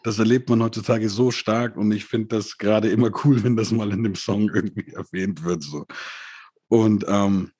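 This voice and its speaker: A man co-hosting a casual rap review chat, relaxed and laughing often, who grew up bilingual. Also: fairly low pitch, moderate pace, slightly rough, no room sound, clean studio sound. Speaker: podcast co-host reviewing rap releases.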